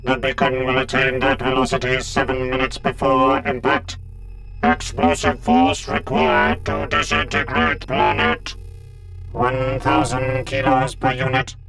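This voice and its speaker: A menacing male cyborg commander from a science fiction audiobook. The delivery is very slow and flat, clipped and methodical, with no feeling at all. Music runs behind the voice. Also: medium pitch, creaky, poor audio. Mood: cold, methodical. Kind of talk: dramatised audiobook reading.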